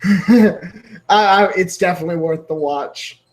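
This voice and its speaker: An excitable American man laughing and swearing in casual stream chat. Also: high-pitched, a little slow.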